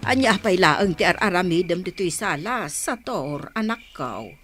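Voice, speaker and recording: A woman acting a concerned older mother who gently counsels her grown son in a radio drama. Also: on the low side, lively intonation, moderate pace, soft background music.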